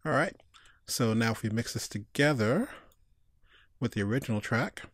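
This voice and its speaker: A man's voice: mid-range voice, normal speed, no room sound. Casual man teaching, dry close sound. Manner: informal, step-by-step, thinking aloud. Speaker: tutorial instructor. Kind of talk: casual screencast tutorial.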